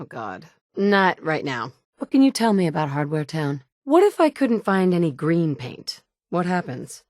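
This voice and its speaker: A woman's voice: on the low side, dry recording, studio quality. An actress in her thirties playing a blunt, sardonic mother in a game, with clipped one-liners. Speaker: player character. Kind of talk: video game dialogue lines. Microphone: studio mic.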